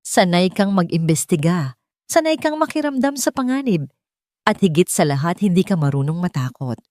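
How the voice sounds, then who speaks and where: A woman's voice: lowish voice, very animated, moderate pace, dry recording, clean studio sound. A woman voicing a cold, commanding billionaire father in an audiobook, speaking curtly and directly. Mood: firm, direct. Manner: direct, quietly threatening, no shouting.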